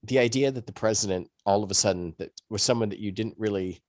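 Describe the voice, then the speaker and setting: A man's voice: lowish voice, quick pace, darkish tone, no room sound, studio quality. A man speaking as a podcast panelist, explaining things in a rambling, conversational way. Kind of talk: conversational discussion.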